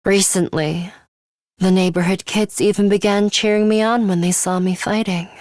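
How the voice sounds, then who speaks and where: A woman's voice: medium pitch, fairly even intonation, moderate pace, darkish tone, no room sound, clean studio sound, natural voice. A woman playing a serious, coolly arrogant schoolgirl fighter with a bloodthirsty streak in a video game, speaking in a formal, precise, faintly sinister way. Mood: composed, aloof. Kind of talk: English video game voice acting, measured monologue.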